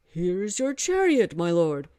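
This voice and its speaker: A woman's voice: fairly low pitch, big pitch swings, unhurried, mellow, clear, resonant tone, dry recording, studio quality. A woman voicing an exuberant, devoted male monkey god who speaks with grand reverence. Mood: proud.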